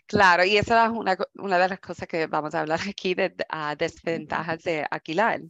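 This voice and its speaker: A woman's voice: fairly low pitch, a bit bright, dry recording, highs cut off. A woman, an English speaker, presenting a financial workshop in conversational Spanish, restarting words and mixing in English terms.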